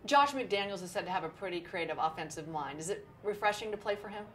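A woman's voice: medium pitch, animated, fast, slight background noise. A woman hosting a TV interview, asking upbeat questions. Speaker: TV interview host.

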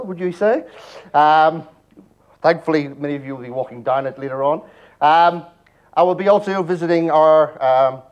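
Irish guy doing a conference talk, kinda high voice, wry jokes, big hall. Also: muffled recording. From Ireland. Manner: joking, wry asides. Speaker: conference speaker. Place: large presentation hall.

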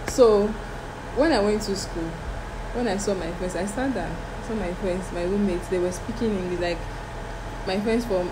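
A young Nigerian woman, an animated storyteller who acts out quotes and mixes in pidgin. She sits indoors before a fixed camera at medium distance, with an air conditioner humming steadily in the room. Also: mid-range voice, moderate pace, background noise. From Delta State, Nigeria. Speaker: guest. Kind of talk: casual chat.

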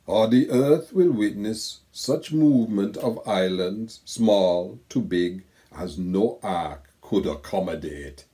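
Older man reading slowly, dark tone. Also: on the low side, expressive. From Saint Kitts and Nevis. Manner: slow, measured poetic reading. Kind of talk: reading a letter aloud. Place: home room with bookshelves.

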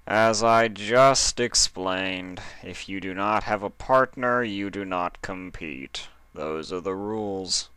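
A fussy male pony clerk from a storybook, voiced by a man. He speaks slowly and formally in a deadpan, crisp voice, every word deliberate. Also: deep voice, no echo, exaggerated voice. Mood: exasperated.

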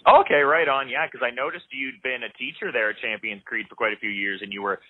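A man's voice: medium pitch, steady tone, fairly quick, dry recording. A male interviewer asking long, winding questions in a casual, wordy way. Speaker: interviewer, host. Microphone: studio mic with headphones. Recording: dry, tinny phone-like sound.

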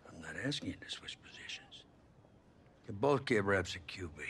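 Stern, gravelly football coach. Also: male voice, deep voice, animated, moderate pace, fairly bright tone, slight background noise. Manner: blunt, authoritative. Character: stern, no-nonsense male college football head coach. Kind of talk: video game cutscene acting.

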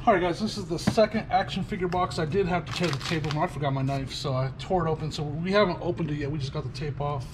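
A man's voice: a little high, quick pace, few pauses. A man in his fifties giving casual, upbeat commentary while he digs through boxes in a metal storage unit. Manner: chatty, upbeat, thinking aloud while sorting items. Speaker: storage locker reseller. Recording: loud background noise.

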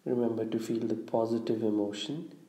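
A man leading a guided visualization, giving instructions in a deliberate, measured way with long pauses. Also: fairly low pitch, steady tone, dark tone. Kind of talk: guided meditation, slow instructional narration. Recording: roomy, echoing room sound.

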